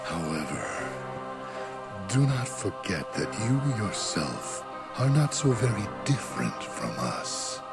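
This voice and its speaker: Villain mastermind: deepish, menacing, drawn-out mocking delivery for a game, under loud music. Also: male voice, sing-song, gravelly, exaggerated voice. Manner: menacing, condescending, deliberate. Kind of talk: animated video game voice acting.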